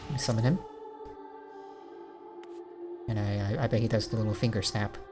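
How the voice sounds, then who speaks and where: A man's voice: lowish voice, fairly even intonation, very fast. A man giving casual, rambling let's play commentary in an understated way, thinking aloud as he goes. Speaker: gaming video host. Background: music behind the voice.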